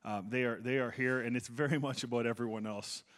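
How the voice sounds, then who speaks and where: A middle-aged male pastor preaching a conversational sermon in church, self-deprecating and warm-humored, with frequent restarts. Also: medium pitch, fairly even intonation, brisk.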